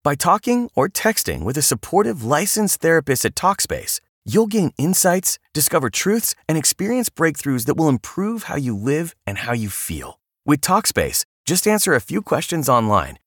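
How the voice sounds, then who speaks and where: A man reads a sponsor ad, his pitch swinging up and down very animatedly in an upbeat promotional style. His voice sits mid-range and sounds dry and close. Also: sing-song, normal speed, hardly any pauses, breathy edge, no room sound. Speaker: ad reader. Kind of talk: scripted sponsor read.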